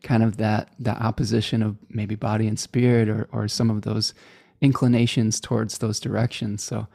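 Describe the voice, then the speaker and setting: A man's voice: fairly low pitch, flat delivery, moderate pace, gravelly, mellow, dry recording, studio quality. A man hosting a live interview show, upbeat and chatty, with many fillers. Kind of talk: casual interview talk.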